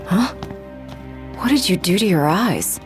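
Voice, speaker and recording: A woman's voice: mid-range voice, expressive, measured pace, music in the background, close to an everyday voice. An actress playing a brash, cool female fighter in a video game, talking in a blunt, casual, sardonic way. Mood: sardonic, curious.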